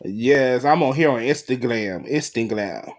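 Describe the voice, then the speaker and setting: A man's voice: mid-range voice, animated, a little slow. A man hosting a podcast in casual, slangy, promotional chat. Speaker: podcast host and voice actor.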